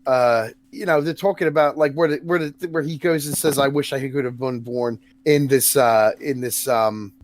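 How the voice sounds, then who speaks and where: A man's voice: medium pitch, moderate pace, hardly any pauses, no room sound. A man with a New Zealand accent hosting a casual podcast, rambling through long stories with many restarts and laughing at what he describes. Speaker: podcast host.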